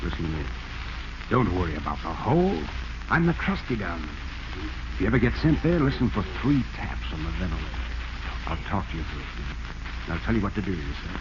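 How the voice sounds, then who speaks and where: An actor playing a middle-aged long-term convict, a wary, knowing mentor with measured speech, in 1940s radio drama style. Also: male voice, low voice, sing-song. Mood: urgent, confiding. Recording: dull 1940s radio recording with noise.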